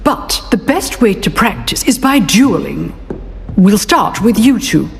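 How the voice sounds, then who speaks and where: A woman's voice: mid-range voice, very animated, unhurried, creaky. An actress playing a stern, exacting older British witch professor in a video game, instructing with formal authority. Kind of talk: scripted video game acting. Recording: reverberant hall with music behind.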